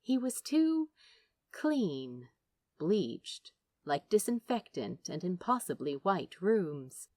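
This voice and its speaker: A woman narrates a story in an emotive, dramatic audiobook style. Her voice sits fairly low but swings widely in pitch, and she takes her time over the lines. The sound is close and dry. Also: a little mellow, no room sound. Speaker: fan fiction narrator. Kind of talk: expressive scripted audiobook narration.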